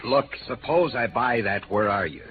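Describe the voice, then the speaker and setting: On a tinny, noisy old radio recording, a male actor plays a tired police lieutenant of noir drama. He sounds gruff, doubting and exasperated, with a medium-pitched voice. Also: lively intonation, moderate pace, natural voice. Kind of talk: 1950s radio noir acting.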